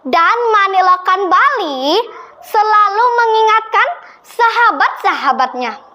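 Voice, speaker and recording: A young girl telling a children's story in a deliberate, dramatic and expressive way. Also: extremely high pitch. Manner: slow, deliberate, expressive delivery. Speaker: storytelling contest participant.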